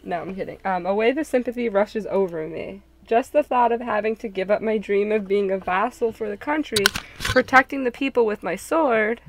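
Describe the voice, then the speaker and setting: A woman's voice: medium pitch, moderate pace, a little hiss or hum, poor audio. A woman hosting a group read-along, switching between casual joking chat and story narration, often poking fun at herself.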